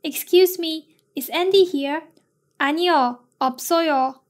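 A woman slowly reading lesson phrases and their translations with an even, spaced delivery. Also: sits high. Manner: slow, evenly spaced delivery. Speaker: lesson reader.

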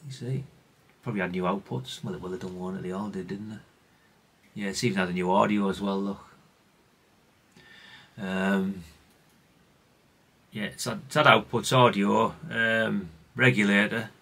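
Gravelly, low-voiced British man rambling casually. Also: fairly even intonation, a little slow, pauses often, pushed and bright. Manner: rambling, chatty, plain-spoken, tag questions. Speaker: hobbyist radio repair vlogger. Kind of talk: casual unscripted workbench commentary.

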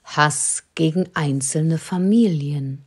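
A woman narrating a scripted audio drama as storyteller, with a husky voice. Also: deep voice, slow, heavily acted.

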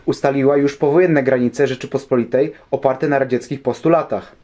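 A man's voice: mid-range voice, fairly even intonation, brisk, mellow. A man giving scripted documentary narration, answering questions about history.

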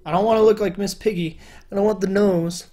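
Young guy with a high light voice, rambly vlog chat from his room, lots of ums. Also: fairly even intonation, brisk, mellow. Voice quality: higher-pitched male voice, sometimes mistaken for a woman. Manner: chatty, rambling, many fillers and restarts. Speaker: YouTuber. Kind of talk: casual solo commentary. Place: indoor room at home.

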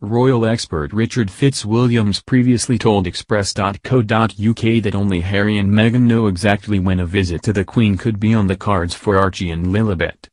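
A man narrating a scripted news piece in an even reading manner. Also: on the low side, normal speed, few pauses, no room sound. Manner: even, reading quotes in the same voice.

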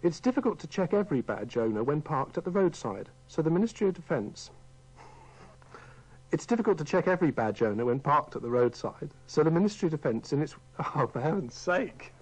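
A man's voice: on the high side, big pitch swings, fairly quick, darkish tone, slightly rough, low-quality recording. A man reading a scripted radio report.

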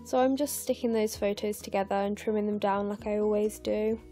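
A young English woman in her twenties, narrating her scrapbooking in a chatty, rambling way with lots of fillers, her voice croaky and tired late at night. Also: medium pitch, steady tone, fairly quick, warm tone, soft background music. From England. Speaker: scrapbooking vlogger. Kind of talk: casual voice-over narration of a craft process. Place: home, recorded late at night.

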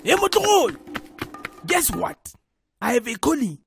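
A man voicing a brash, scheming, hot-tempered South African cartoon character, loud and excitable. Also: very high voice, fast talker, vocal fry, music in the background, heavily acted. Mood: excitable, earnest. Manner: loud, excitable, mixes English into vernacular. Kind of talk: comedic cartoon dialogue.

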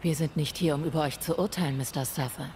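An actress playing a composed, stern female ghost hunter in a video game, speaking formally, measured and direct. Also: sits low, darkish tone, natural voice. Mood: calm, probing. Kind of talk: video game dialogue acting. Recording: faint ambient noise.

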